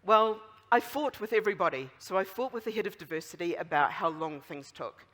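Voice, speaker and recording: A speaker with a strong New Zealand accent giving a conference closing talk, chatty and wry, with many fillers. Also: male voice, high voice, animated, fairly quick, a bit bright. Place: large conference hall. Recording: long hall reverb.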